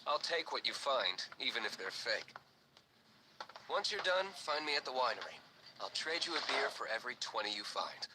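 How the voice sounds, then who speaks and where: An actor playing a composed, wry young nobleman in a video game, speaking in a measured, understated way. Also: mid-range voice, expressive, lightly acted. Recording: noisy game background ambience.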